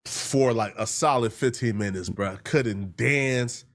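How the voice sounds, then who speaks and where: A man's voice: mid-range voice, slow. American male reaction host, mid-range voice, casual slang, talks directly to viewers.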